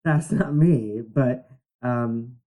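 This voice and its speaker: A man co-hosting a podcast who talks in an animated, halting way with lots of fillers. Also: mid-range voice. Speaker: podcast co-host. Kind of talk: casual conversation.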